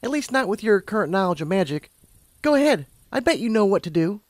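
A man's voice: sits high, big pitch swings, fairly quick, no room sound, studio quality, pitched above the performer's own voice. A man voicing a confident, kindly female unicorn pony who explains things like a patient mentor. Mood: upbeat, instructive. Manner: assured, explanatory.

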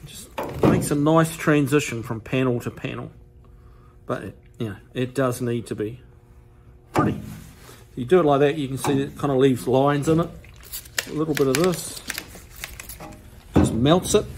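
A man in his sixties with a New Zealand accent, showing car restoration work in a workshop in a relaxed, practical, plain-spoken way. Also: mid-range voice, normal speed, long pauses, noisy background. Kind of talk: casual how-to demonstration. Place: large car workshop, indoors.

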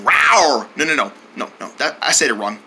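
A performer voicing a goofy, excitable cartoon boy who rambles and growls like a tiger. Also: medium pitch, normal speed, breathy edge, voice pushed down, exaggerated voice. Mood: silly, restless.

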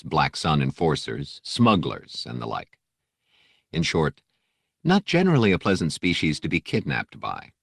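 A man's voice: very deep voice, no echo, studio quality. A man reading an adventure novel as scripted audiobook narration.

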